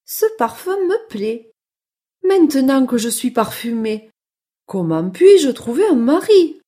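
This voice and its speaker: A woman's voice: high-pitched, sing-song, slow pace, mellow, heavily acted. A woman voicing a sweet, polite, eager little female mouse with an exclamatory, sing-song delivery in a children's story. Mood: hopeful, curious.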